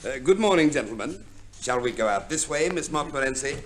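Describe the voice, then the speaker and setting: An actor playing a businesslike, courteous divorce agent in an old radio comedy play. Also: male voice, mid-range voice, lively intonation, a little fast, close to an everyday voice. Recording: noisy vintage radio play recording.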